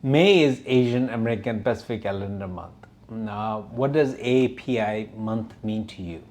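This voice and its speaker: A man's voice: on the low side, moderate pace, darkish tone. A man hosting an interview, putting questions in a semi-formal, conversational way. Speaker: interviewer, host.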